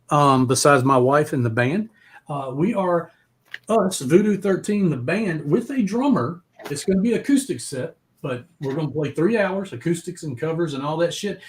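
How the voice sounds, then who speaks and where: Profane, excitable man with a higher-pitched voice. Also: breathy edge. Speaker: music show host and band member. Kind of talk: casual live chat.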